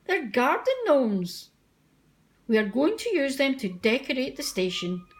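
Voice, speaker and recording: A woman voicing a firm, authoritative male railway controller in a storybook, brisk and commanding. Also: sits high, very animated. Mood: brisk, reassuring.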